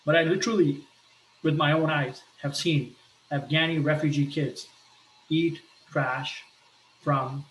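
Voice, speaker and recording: A man from Pakistan talking earnestly about his life, pausing often and restarting his sentences. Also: fairly high pitch, fairly bright tone, echoey room, a little hiss or hum, poor audio. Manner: hesitant, earnest, many restarts and fillers. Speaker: entrepreneur sharing a personal appeal. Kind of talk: personal talk with shared images.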